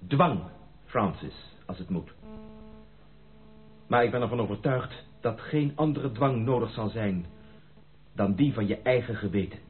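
Insistent gentleman suitor, swooping intonation. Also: lowish voice, lively intonation, unhurried, pauses often. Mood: stern. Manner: formal, emphatic, dramatic. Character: proud gentleman suitor, insistent, earnest, stubborn. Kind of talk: Dutch radio play acting. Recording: thin narrow-band radio play recording.

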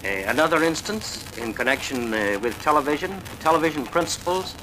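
A man giving a formal, deliberate explanatory talk on an archival recording. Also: a little high, expressive, background noise.

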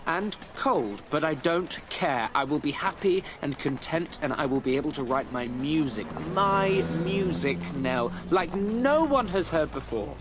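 Radio drama: fervent, self-deprecating young artist, animated, lightish pitch. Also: male voice, a little slow, few pauses, noisy background. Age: young adult. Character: earnest, dreamy, impetuous young would-be composer.

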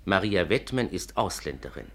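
An actor playing a worried, polite inventor in a 1950s German radio play, speaking in a hesitant, fussy way. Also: male voice, low voice, very animated, moderate pace, a bit bright. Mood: earnest, troubled. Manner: hesitant, fussy, repeats a catchphrase. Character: flustered, worried, polite gentleman inventor seeking help.

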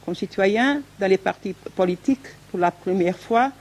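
A woman with a Portuguese accent, whose first language is Portuguese, answering questions at an academic conference in halting speech full of fillers, restarts and pauses as she searches for words. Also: medium pitch, a little mellow, low-quality recording.

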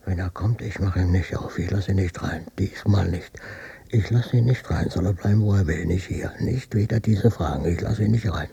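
An actor playing a gruff, stubborn old father and war veteran in a German radio drama, with a husky, gravelly voice and a curt, defensive manner. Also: low-pitched, moderate pace, dark tone, heavily acted. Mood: stubborn, irritated.

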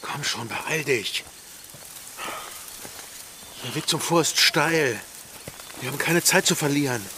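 An actor playing a bold, wry male pirate with a gravelly voice in a German radio play. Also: a little high, slow pace, pauses often, sharp tone, a little hiss or hum. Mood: urgent. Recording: music behind the voice.

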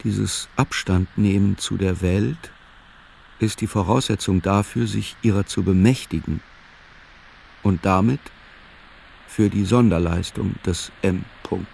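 Brooding loner in a radio play, low creaky voice, slow musing, some noise behind. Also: male voice, steady tone, a little mellow. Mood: pensive. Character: brooding solitary man musing over encyclopedia facts. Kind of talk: literary radio play monologue.

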